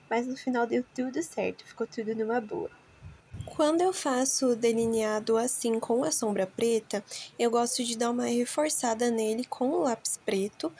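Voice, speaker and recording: A young Brazilian woman with a slightly hoarse, nasal voice from a cold, chatting casually to viewers as she narrates a makeup tutorial. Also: fairly high pitch, darkish tone, a little hiss or hum. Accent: Brazilian Portuguese. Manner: chatty, informal, talks straight to viewers. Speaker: makeup vlogger.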